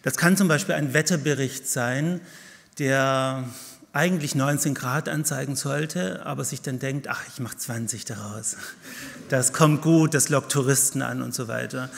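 A middle-aged man with a Swiss German accent giving a conference keynote from a lectern, lively and wry, with self-deprecating jokes. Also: medium pitch, moderate pace. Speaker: keynote speaker, professor. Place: large conference hall with audience. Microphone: lectern mic at a podium. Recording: gravelly voice in a reverberant hall.

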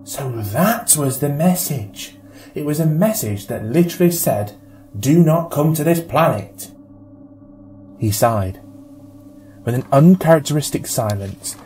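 A man narrating a scripted story as an audiobook reader, with a measured storytelling manner. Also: mid-range voice, mellow, a little hiss or hum.

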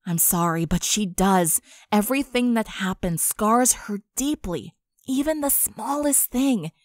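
A woman voicing a concerned, firm but caring young friend in a measured, earnest way for a fan fiction drama. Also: mid-range voice, expressive, dry recording, clean studio sound, lightly acted.

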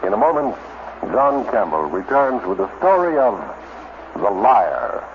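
A man announcing a 1950s radio show in a grand, dramatic style with drawn-out emphasis. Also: fairly low pitch, big pitch swings. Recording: dull, noisy 1950s radio recording.

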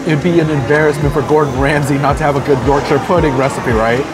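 A man's voice: a little high, steady tone, fast talker. A man in his thirties, a food vlogger, talking to the camera with eager, savoring praise while eating in a busy restaurant. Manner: enthusiastic, savoring each bite with upbeat praise. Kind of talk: casual food review to camera. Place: busy restaurant dining room, indoors. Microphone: phone or camera held at arm's length. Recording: background music playing in restaurant.

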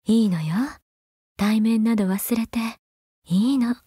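High-voiced, doting, seductive mother figure. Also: a little slow, mellow, dry recording, clean studio sound. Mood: doting, coaxing. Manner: coaxing, breathy-whispering at times, archaic feminine phrasing. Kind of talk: Japanese mobile game character voice lines.

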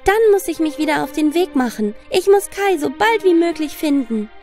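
A woman's voice: high-pitched, expressive, moderate pace, darkish tone, voice pushed up. A woman voicing a polite, earnest, determined young girl in a children's fairy tale. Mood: worried, determined. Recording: long reverb, music underneath.

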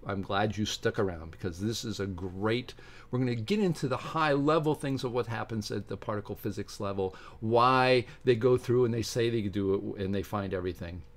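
An older man reads aloud from a book and adds informal, emphatic asides as a video host. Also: mid-range voice. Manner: emphatic, animated delivery with asides. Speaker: video host and science commentator. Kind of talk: informal commentary while reading aloud from a book. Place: indoors, home study with bookshelves.

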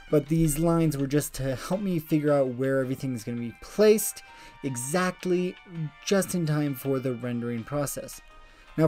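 Woman talking through her digital painting process, low voice, laid back, soft music under it. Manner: relaxed, explanatory, thinking aloud. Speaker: digital artist. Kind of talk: voice-over narration of a painting process. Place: voice-over over screen recording.

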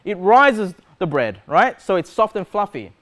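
A man's voice: high-pitched, unhurried. A man, preaching a sermon as pastor in a church hall, asking questions and gesturing widely. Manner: animated preaching with wide gestures, rhetorical questions. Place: church hall with metal walls.

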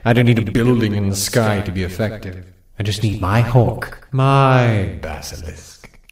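A man playing a gleefully sadistic pony airship commander in an audio drama, theatrical and full of drawn-out, mocking politeness. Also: lowish voice, breathy edge, dry recording, clean studio sound. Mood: smug, playful.

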